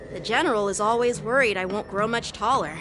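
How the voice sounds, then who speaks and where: A woman's voice: mid-range voice, moderate pace, noisy background, natural voice. A woman voicing an eager, friendly teenage boy swordsman in an anime-style game, upbeat and earnest. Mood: cheerful.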